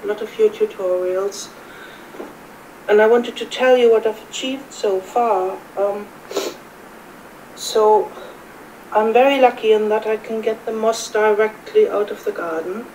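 A middle-aged woman with a German accent speaks in a measured, friendly and orderly way. She sounds a little distant from the mic, with faint noise in the background. It comes across as a home-recorded video message. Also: mid-range voice, fairly even intonation, a little mellow. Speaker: viewer sending in a video.

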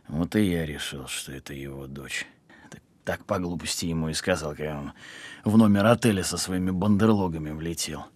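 Very deep, husky tough criminal. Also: male voice, moderate pace, a little mellow, no echo. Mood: sardonic, bitter. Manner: criminal slang, sardonic bravado. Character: tough weary male criminal on the run, gruff and sardonic. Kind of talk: audio film acting.

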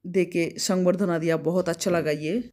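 A woman's voice: on the low side, fairly even intonation, normal speed, darkish tone. A woman travel vlogger from West Bengal chatting casually on a road trip, listing places and costs as she goes, recorded on a phone held at arm's length in a car and outdoors.

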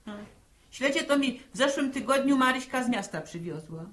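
A scolding farm mother in a vintage radio play. Her voice sits fairly high, and she grumbles in an earthy, folksy way, blunt and practical. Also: animated, normal speed. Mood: grumbling. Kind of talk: 1960s Polish radio drama acting. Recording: 1960 archive radio drama recording.

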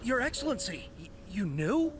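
A man's voice: high-pitched, big pitch swings, normal speed, music in the background. A man voicing a zealous young soldier in a video game, earnest and impassioned. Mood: startled, deferential. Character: zealous young male soldier pleading with leader.

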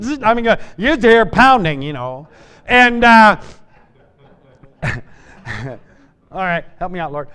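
An older American man preaching a folksy revival sermon in a small church, telling stories and shouting at the high points. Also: smooth. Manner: storytelling, shouts on climaxes, jokes with congregation. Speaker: evangelist. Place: small church sanctuary at a pulpit. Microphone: fixed camera from the pews.

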